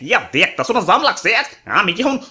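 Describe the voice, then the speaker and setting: A man's voice: sits high, lively intonation, fast, noisy background, voice pushed down. A man voicing a bumbling, easily startled old grandfather in a comic cartoon, with Bangladeshi dialect and constant filler words, over music. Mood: flustered. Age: older. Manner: peppers speech with a filler word, exclaiming.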